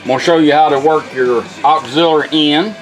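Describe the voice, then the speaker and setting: A man's voice: a little high, steady tone, a little fast, poor audio. A middle-aged man giving a casual, hands-on product demonstration in a folksy, plain-spoken, instructional way. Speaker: vintage car radio technician demonstrating a product. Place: indoor workshop bench. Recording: music and radio playing behind. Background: music from the demonstrated radio.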